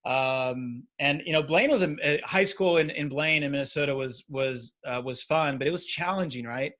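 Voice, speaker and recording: Higher-voiced man in his forties, telling stories. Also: moderate pace, a bit bright. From Brazil and the United States. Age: 40s. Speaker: interview guest. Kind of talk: casual interview storytelling.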